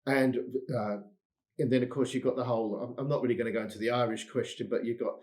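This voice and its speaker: A middle-aged Englishman with a medium-pitched voice talks casually as a podcast guest. He is chatty and self-deprecating, often repeating a word or two as he gets going. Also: steady tone, quick pace, a little mellow. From England. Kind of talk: casual conversation.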